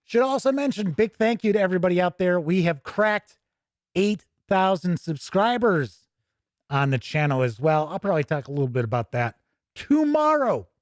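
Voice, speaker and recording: Guy doing a casual gaming stream, kinda high voice, folksy and chatty, really dry close sound. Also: big pitch swings, moderate pace, dry recording. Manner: folksy, chatty, with jokey turns of phrase. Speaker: YouTube host of a tabletop gaming show. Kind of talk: casual livestream sign-off chat.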